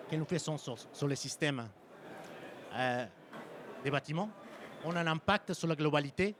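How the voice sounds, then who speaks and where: A man with a Mexican Spanish accent giving a conference presentation as a sales engineer, pausing often with ums and restarting phrases. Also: on the high side, background noise. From French-Mexican. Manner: hesitant, frequent ums and restarts. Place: conference hall.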